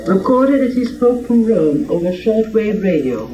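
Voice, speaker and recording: A man's voice: high-pitched, big pitch swings, measured pace, lo-fi sound, a crowd in the background. A man announcing formally in 1930s network radio style.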